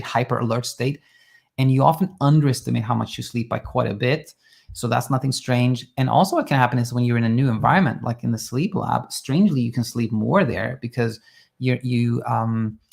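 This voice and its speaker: Sweden-raised man, gravelly mid voice, calm coach answering listener questions. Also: medium pitch. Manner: calm, reassuring, thinks aloud with restarts. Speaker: sleep coach. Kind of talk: live Q&A advice talk.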